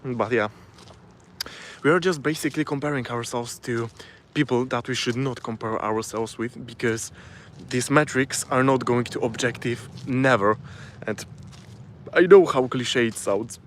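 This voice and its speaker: A man with a Polish accent, a native Polish speaker, giving a casual live-stream talk from his phone, often pausing and restarting as he searches for words. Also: medium pitch, a bit bright, slight background noise. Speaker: self-improvement vlogger.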